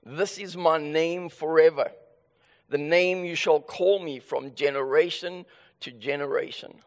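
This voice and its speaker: A man with a South African accent preaching a sermon and reading scripture, his voice raspy and hoarse from illness, earnest with frequent restarts. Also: on the high side, steady tone, unhurried, in a large hall. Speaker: pastor.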